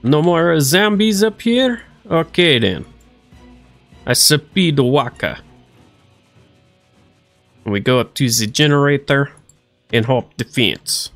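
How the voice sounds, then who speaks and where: Slow, easygoing man narrating his gameplay. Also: fairly high pitch, lively intonation, gravelly, a bit bright, soft background music. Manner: goofy, talks to himself, playful silly voices. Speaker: let's play streamer. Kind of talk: casual gameplay commentary.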